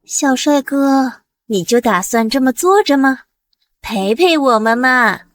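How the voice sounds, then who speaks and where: High, slow, sultry anime flirt. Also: female voice, expressive, no room sound. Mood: coaxing, flirty. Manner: coaxing, drawn-out. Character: sultry, forward woman coaxing at a party. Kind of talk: animated drama acting.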